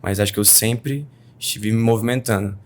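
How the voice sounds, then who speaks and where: Thirty-something guy from brasilia, lowish voice, relaxed, laughs easy, brasilia accent. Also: moderate pace. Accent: Brazilian Portuguese, Brasília. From Brasília, Brazil. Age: 30s. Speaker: guest, personal trainer. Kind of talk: casual podcast interview.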